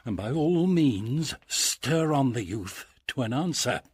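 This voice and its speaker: An actor playing a blustering, mischievous boozy uncle knight in a Shakespeare radio drama, husky, theatrical and bossy. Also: male voice, mid-range voice, expressive, takes it slowly. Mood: amused scheming.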